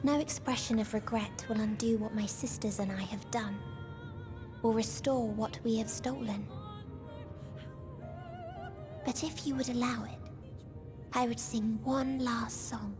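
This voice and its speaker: A woman voicing a gentle, sorrowful otherworldly girl in a video game cutscene, speaking in a soft, breathy, solemn and poetic way over music. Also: a little high, fairly even intonation, moderate pace, lightly acted. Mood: solemn remorse.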